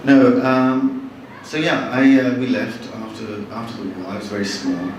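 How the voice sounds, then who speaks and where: A man's voice: medium pitch, little pitch movement. Wry man talking in an echoey hall. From born in Bangladesh, raised in London. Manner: wry, chatty, halting with frequent restarts. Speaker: novelist giving a talk. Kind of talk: live talk to an audience. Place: large hall with audience. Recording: echoey hall from far off, noisy.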